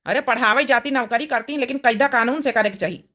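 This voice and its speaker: Woman as fed up village husband in comedy cartoon, awadhi accent, chiding, voice pushed up high. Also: fast talker, pushed and bright. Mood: concerned, annoyed. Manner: chiding, rustic. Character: exasperated rustic village husband, young man.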